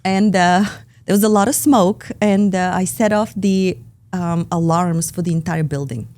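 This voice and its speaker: A woman with a Bulgarian accent, a podcast guest talking in an earnest way with frequent pauses in a studio. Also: fairly low pitch, fairly even intonation, darkish tone. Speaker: operations lead, guest. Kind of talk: podcast conversation. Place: recording studio.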